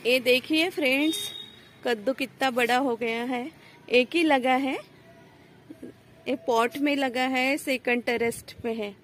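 Slow-talking woman touring her terrace garden. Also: high-pitched, steady tone, a bit bright, slight background noise. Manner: addresses viewers as friends, points things out. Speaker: gardening vlogger. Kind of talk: casual show-and-tell walkthrough. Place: rooftop terrace garden, outdoors. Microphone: phone camera held while filming.

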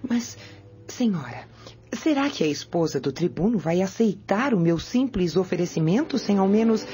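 A woman's voice: medium pitch, big pitch swings, moderate pace, mellow, slight background noise, close to an everyday voice. An actress playing an obedient, deferential servant woman who conspires with her mistress in a radio drama. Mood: deferential, attentive.

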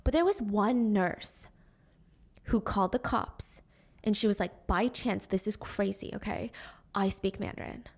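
A young woman narrating a true crime case into a studio mic at home, animated and acting out the quotes. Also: medium pitch, measured pace. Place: small room at home, indoors. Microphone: studio mic on boom arm.

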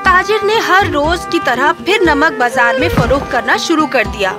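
A woman's voice: high-pitched, music in the background. A woman reading a scripted children's story as the narrator.

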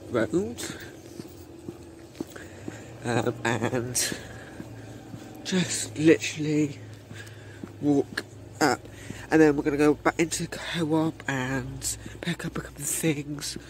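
A man's voice: fairly high pitch, takes it slowly. Rambling young British man, noisy recording. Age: 16, teenager. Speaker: student vlogger. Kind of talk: casual day-in-the-life vlog chat. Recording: clearly noisy amateur handheld recording.

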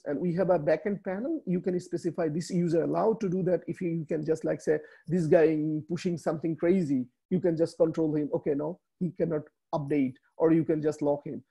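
Man, Bangladeshi accent, native Bengali speaker, webinar talk, dark mellow tone, raspy, halting with restarts. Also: fairly high pitch. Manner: halting, many fillers and restarts. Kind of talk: slide presentation. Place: small room indoors. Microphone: video call.

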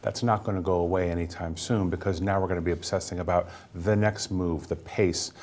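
A middle-aged man gives market commentary on a TV panel. His voice is low, and he speaks quickly and fluently. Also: steady tone, a little mellow, a little hiss or hum. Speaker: market strategist guest. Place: TV news studio. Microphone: lapel mic.

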